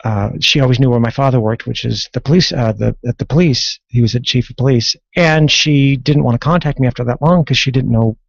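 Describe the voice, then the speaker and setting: Hesitant male guest, halting and filler-heavy. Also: medium pitch, vocal fry, dry recording. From California. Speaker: guest author. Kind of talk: radio interview. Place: radio studio. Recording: dry, dull-sounding radio studio audio.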